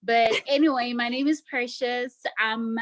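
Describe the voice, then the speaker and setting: A woman's voice: a little high, fairly even intonation, unhurried. A woman in her twenties, wry and joking as she introduces herself on a video call. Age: 20s. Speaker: guest.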